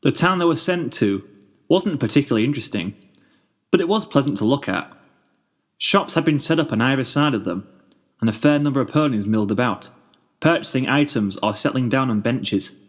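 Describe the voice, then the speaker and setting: A man reading a story aloud as narrator, in an expressive scripted style. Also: mid-range voice, a little fast. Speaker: fan fiction narrator.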